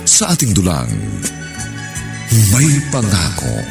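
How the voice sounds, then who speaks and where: A man's voice: sits low, wildly swooping pitch, takes it slowly. A man announcing a radio drama in a dramatic, scripted style. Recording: loud music bed.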